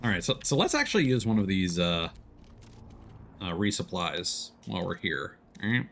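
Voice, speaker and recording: A man's voice: low-pitched, very animated, normal speed, fairly bright tone, a little hiss or hum. A man doing casual, playful live commentary as he plays a game, full of exclamations and little muttered sound effects. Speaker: livestream gamer, host.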